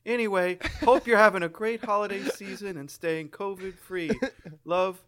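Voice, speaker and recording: A man with a bright, rather high voice banters as a podcast co-host. He riffs casually and comedically, often in mock earnest. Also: steady tone, moderate pace.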